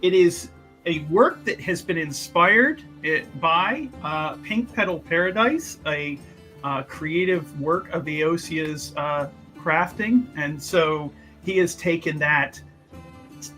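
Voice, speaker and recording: A man's voice: a little high, pushed and bright. A man playing a tabletop game, casually recapping what his character has been up to, often stopping to search for words and restarting.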